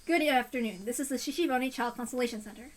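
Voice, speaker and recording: A woman's voice: high-pitched, fast talker. A woman voicing a courteous but insistent child welfare official on the phone. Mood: polite firm.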